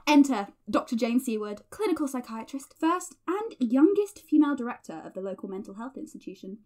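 A young woman with a fairly high voice played by an actress hosts an audio drama diary. She is playful and nerdy, teasing her friend and narrating with a theatrical flourish. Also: sing-song, fairly quick, warm tone. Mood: mock dramatic.

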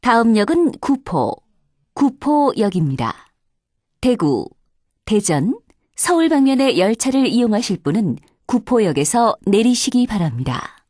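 A woman's voice: fairly high pitch, sing-song, a little slow, darkish tone, dry recording, studio quality. A woman reading formal scripted subway announcements in a polite, carefully enunciated way.

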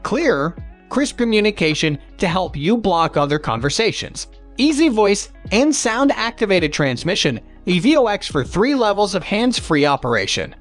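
A man's voice: high voice, sing-song, normal speed, faint music behind. A man reading a scripted countdown of product reviews as a narrator.

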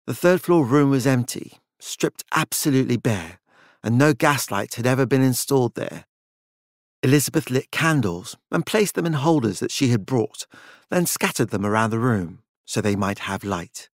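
A man's voice: medium pitch, expressive, normal speed, dry recording, studio quality. A man narrating a dramatic work of fiction as an audiobook.

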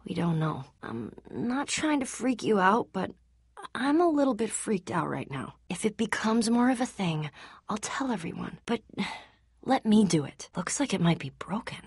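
A woman voicing a tough, guarded but loyal young female adventurer in an animated adventure game, husky and blunt, with a casual, earnest delivery. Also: mid-range voice, moderate pace, dry recording, clean studio sound. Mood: frightened, hushed. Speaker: game voice actress.

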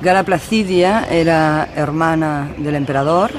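A man explaining as an expert in an unscripted interview, in a halting, phrase-by-phrase way. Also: on the high side.

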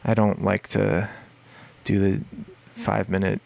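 A man with a deep voice, a musician guest in a casual podcast interview, talking in a rambling way with many fillers and restarts. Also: level tone, unhurried, vocal fry, darkish tone, no echo. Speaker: guest musician, singer and guitarist.

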